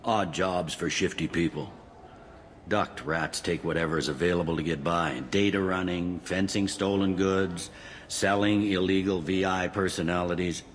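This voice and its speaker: An actor playing a matter-of-fact, world-weary police officer in a video game, briefing in a dry, informal, clipped way. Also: male voice, sits low, steady tone, moderate pace, a little hiss or hum, close to an everyday voice.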